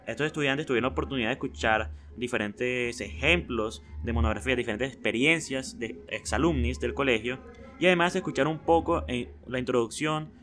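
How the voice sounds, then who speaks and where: A young man with an ordinary mid-range voice reads school news announcements for a student podcast. He keeps a plain, steady broadcast delivery. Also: mid-range voice, a little fast. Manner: reads news announcements, then a loud energetic intro.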